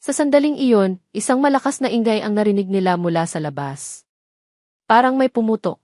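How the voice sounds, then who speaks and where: A woman's voice: medium pitch, animated, a little fast, dark tone, no room sound, clean studio sound. A woman reading a scripted story as a narrator, in a dramatic style.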